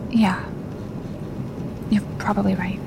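A woman's voice: flat delivery, slow, creaky. An actress playing a weary, bookish woman writer in a naturalistic audio drama, recorded as if on location. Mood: shaken, tired. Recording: noisy location-style tape with rumble.

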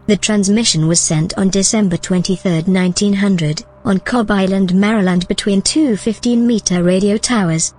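An actress playing a cool, precise, dryly teasing scientist in a science-fiction audio drama. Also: female voice, medium pitch, steady tone, normal speed, hardly any pauses, darkish tone, slightly rough, natural voice. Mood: dry, composed. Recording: music bed behind the voice.

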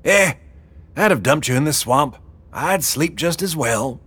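A brash older woman who runs the gang, as a male narrator puts her on, pitched up and lively. She is coarse, folksy and mocking, a storybook boss who teases and orders people around. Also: a little slow, slightly rough, dry recording, full character voice. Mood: brash, commanding.

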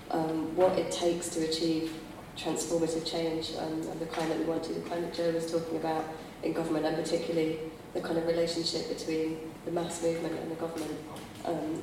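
A woman with a cold and a slightly failing voice, giving a chatty panel talk with many ums. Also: low voice. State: has a cold, slightly losing her voice. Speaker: panel speaker, author. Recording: echoey hall from far off, hiss.

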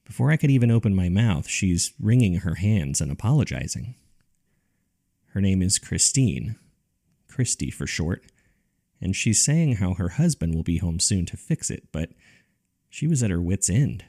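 A man narrating a scripted spooky first-person story in a measured, dry, conversational way, as if reading from a journal. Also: low-pitched, sing-song, vocal fry, dark tone. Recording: music under the voice. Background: background music.

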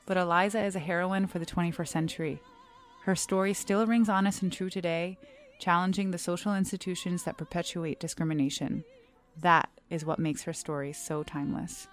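A young adult woman, a stage actor, reading a scripted personal essay aloud in a measured, earnest, clearly enunciated way. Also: fairly low pitch.

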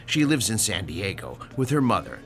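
A man's voice: lowish voice, big pitch swings, moderate pace, soft background music. An actor playing a pushy, sarcastic older landlord in a radio drama, lecturing his tenant about rent. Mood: mock-sentimental. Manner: sarcastic, lecturing, theatrical swings from shouting to hushed.

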